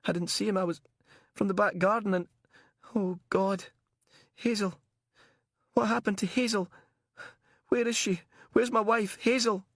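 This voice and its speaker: A man's voice: sits high, normal speed, long pauses, slightly rough, no echo, studio quality, pitched above the performer's own voice. A man voicing a panicking male captive, frantic, pleading and sobbing in an audiobook thriller. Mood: anguished, crying. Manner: pleading, terrified.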